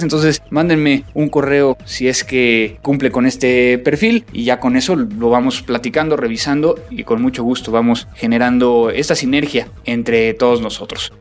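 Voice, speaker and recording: A man speaking as a podcast host and interviewer, presenting in a conversational style. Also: mid-range voice.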